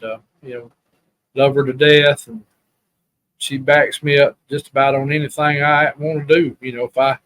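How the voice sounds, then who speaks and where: A man's voice: fairly high pitch, a bit bright, no echo, rough recording. A man from Alabama with a Southern accent, talking as a guest in a casual, rambling, plain-spoken way. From Alabama, USA. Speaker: podcast guest. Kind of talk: casual reminiscing chat.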